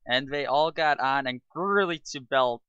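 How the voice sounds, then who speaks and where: Woman reading fanfic aloud, really low voice but bright, mock dramatic. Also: unhurried, dry recording, dull audio. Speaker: co-reader in an amateur dramatic reading.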